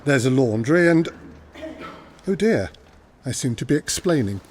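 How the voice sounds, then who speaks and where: An actor playing a genial, idle colonel with a pompous drawl in a radio play. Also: male voice, mid-range voice, lively intonation, a little slow, darkish tone, a little hiss or hum, lightly acted. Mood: languid, amused. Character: hearty, languid upper-class English colonel, idle and genial.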